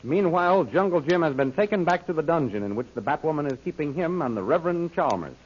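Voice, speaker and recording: High-voiced male vintage radio serial narrator. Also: lively intonation, fast, soft background music. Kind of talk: dramatic old-time radio serial narration. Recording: dull, faintly noisy old radio recording.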